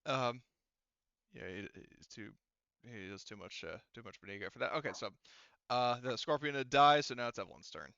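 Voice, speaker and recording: Male game master, forward bright tone, smooth and clean, casual rules talk, dry but slightly muffled recording. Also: medium pitch, normal speed, dry recording. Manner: thinks aloud through rules and dice rolls. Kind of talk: running a tabletop roleplaying game, casual.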